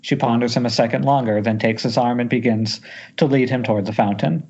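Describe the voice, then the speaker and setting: A man's voice: mid-range voice, a little fast, a little mellow, breathy edge. A man reading scripted stage directions as narrator at an amateur table read. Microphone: Skype call. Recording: dull lo-fi internet call.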